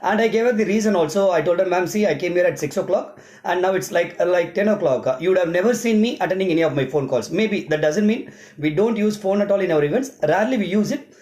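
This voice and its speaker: A man's voice: high voice, fast, a little mellow. A man with an Indian accent who works as an event emcee, explaining things informally step by step like a vlogger. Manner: repetitive, step-by-step explaining with examples. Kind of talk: informal explanatory vlog monologue. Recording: roomy, echoing sound.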